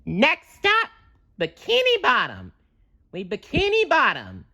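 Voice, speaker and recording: A woman voicing an excitable, naive male cartoon sea sponge in a storybook, earnest and dramatic in delivery. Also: high-pitched, slow pace, pitched above the performer's own voice, full character voice. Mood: triumphant.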